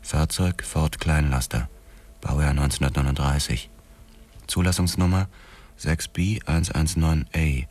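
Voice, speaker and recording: A man's voice: a bass voice, fairly even intonation, a little slow, a little mellow. An actor playing an obsessed, hard-boiled police detective, narrating his case in terse, matter-of-fact noir radio drama style. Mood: tense, precise. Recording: music behind the voice.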